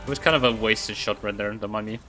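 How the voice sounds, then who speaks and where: A man's voice: on the low side, steady tone, quick pace, bright, forceful tone, faint music behind, poor audio. A man giving casual, rambling live gameplay commentary as a game streamer, easily impressed by what happens.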